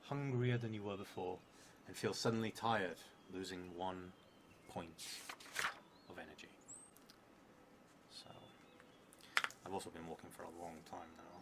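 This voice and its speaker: Low-voiced man, noisy stream recording. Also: animated, a little slow. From outside England. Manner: hesitant, muttering to himself, thinking aloud. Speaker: solo tabletop roleplay streamer. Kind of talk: live solo game narration, reading prompts aloud with casual asides. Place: indoor room in a theatre, seated at a table. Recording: clearly noisy live stream room.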